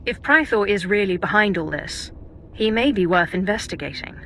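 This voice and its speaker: A cool, confident Sith warrior speaks tersely in a bright, sharp voice. Her lines are clipped and slightly dry, with faint noise behind them. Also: medium pitch, expressive, normal speed. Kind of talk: video game voice acting.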